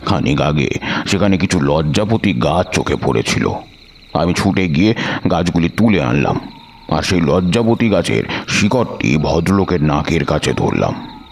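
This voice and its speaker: A man narrating a suspense story in a measured, literary and dramatic manner. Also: rumbling low, animated. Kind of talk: dramatic scripted first-person story narration. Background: background music.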